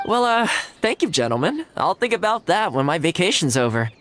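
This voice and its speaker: An actor playing a friendly, easygoing young male retainer in a video game, talking in a relaxed, chatty way. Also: high voice, a little fast. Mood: cheerful. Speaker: game character.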